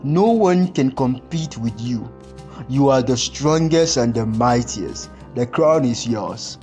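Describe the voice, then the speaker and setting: Narrator as admiring zebra courtier for a folktale: flattering, lowered pitch. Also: takes it slowly, soft background music.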